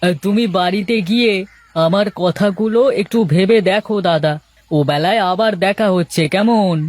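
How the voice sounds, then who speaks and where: A man acts out a meddling young villager in a folk-tale cartoon. His voice is very high for a man, persuasive and familiar. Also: moderate pace, no room sound, close to an everyday voice. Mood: casual.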